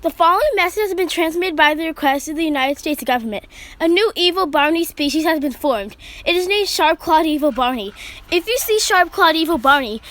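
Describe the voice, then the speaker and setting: A woman reading a scripted mock emergency broadcast as an amateur announcer, with a halting, stumbling delivery. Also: high-pitched, fairly bright tone. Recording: clearly noisy amateur recording.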